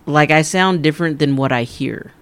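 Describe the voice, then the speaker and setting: Easygoing man, slightly high voice. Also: normal speed, fairly bright tone, clear, resonant tone. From Amarillo, Texas. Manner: easygoing, short replies. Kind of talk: casual chat.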